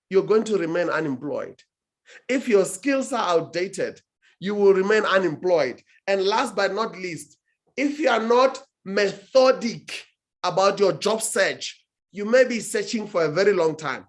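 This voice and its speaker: A man, a guest speaker on a talk show, giving emphatic advice with frequent restarts and searching for words. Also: high-pitched. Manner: emphatic, with many restarts and "what do you call it". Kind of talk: motivational talk-show answer.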